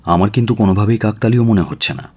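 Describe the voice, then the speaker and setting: Deep-voiced, authoritative male detective played by an actor. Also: a little mellow, lightly acted. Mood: probing, intent. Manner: deliberate, emphatic, authoritative. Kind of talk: radio drama acting.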